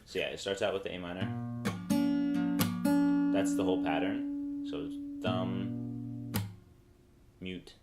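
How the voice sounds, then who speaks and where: A young man in his twenties casually teaching a song on guitar, rambling and thinking aloud as he goes, seated in a small bright room and recorded by a camera a few feet away. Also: sits low, slow, pauses often. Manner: relaxed, rambling, thinks aloud mid-sentence. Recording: echoey room with acoustic guitar.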